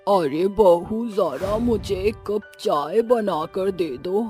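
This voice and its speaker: A man's voice: high-pitched, slow pace, a little mellow, faint music behind. A man voicing a forgetful, demanding elderly mother-in-law in a cartoon.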